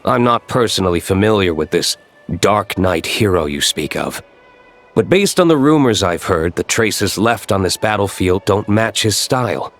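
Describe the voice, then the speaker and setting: A man's voice: on the low side, animated, moderate pace, a bit bright, faint music behind. A man voicing a cool, curt, reserved young nobleman in a game cutscene. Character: cool aloof male nobleman, winery owner.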